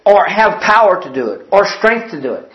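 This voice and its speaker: A man's voice: sits high, very animated, moderate pace. A man preaching a sermon in an earnest, emphatic way, repeating his key phrases and quoting old-fashioned scripture wording. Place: church building. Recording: echoey, dull lo-fi sound.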